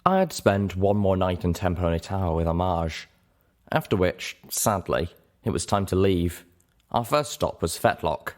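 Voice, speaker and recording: Deep-voiced man narrating with lively range. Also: a little slow. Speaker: audiobook narrator. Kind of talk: expressive scripted story narration.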